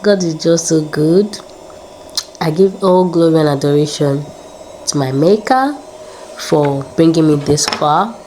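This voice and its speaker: A woman's voice: on the low side, a little mellow, slight background noise. A woman in her late twenties vlogging in a casual, upbeat way, repeating words and adding prayerful asides. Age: 20s. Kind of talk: casual faith-filled vlog talk.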